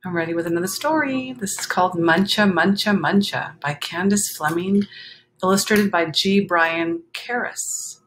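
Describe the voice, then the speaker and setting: A woman's voice: lowish voice, normal speed. Woman reading to children, noisy background. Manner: expressive, explanatory, addressing young listeners directly. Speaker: storyteller reading a picture book aloud to children. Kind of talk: children's read-aloud with chatty asides.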